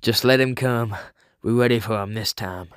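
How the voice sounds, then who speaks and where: A man's voice: lowish voice, moderate pace, no echo, clean studio sound, lightly acted. A man playing brash 1930s tough guys with blunt, slangy talk in a comic book audio drama. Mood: brash, scheming. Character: male 1930s gangsters and cops, brash, tough.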